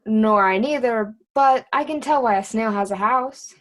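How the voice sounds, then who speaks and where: Court fool, boyish and cheeky, lightly high voice, teasing riddler in classical theatre female actor. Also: moderate pace, a bit bright, close to an everyday voice. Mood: teasing riddles. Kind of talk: Shakespearean reader's theatre acting.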